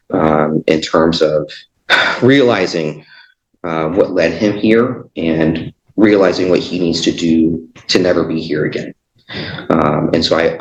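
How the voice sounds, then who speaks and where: Deep-voiced, hesitant male defense attorney. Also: slight background noise. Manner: hesitant, halting delivery. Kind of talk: argument in court. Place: echoey indoor courtroom. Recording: echoey room, muffled sound.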